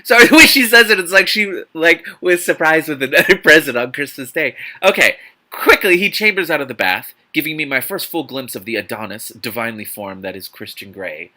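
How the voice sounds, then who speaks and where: A man hosting a dramatic book reading, animated and theatrical, breaking off into exasperated, incredulous, sarcastic commentary. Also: fairly high pitch, brisk. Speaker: host reading a novel aloud with commentary.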